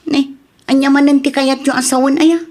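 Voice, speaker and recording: A woman with a Filipino accent playing a sharp-tongued village wife and daughter in a comedy radio drama. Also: high-pitched, level tone, normal speed, lightly acted. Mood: sulky retorts.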